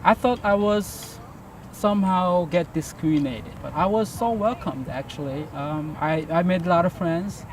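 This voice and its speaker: An older man from Korea, recalling his past in an interview. He pauses often and uses many fillers. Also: high-pitched, noisy background. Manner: hesitant, many fillers, gentle laughs. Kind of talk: interview reminiscence.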